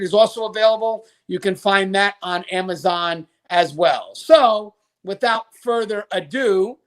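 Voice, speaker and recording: A man's voice: high-pitched, fairly bright tone. A man hosting an interview show, presenting to his audience and addressing guests. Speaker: talk show host. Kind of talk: upbeat show hosting with sponsor read and interview questions.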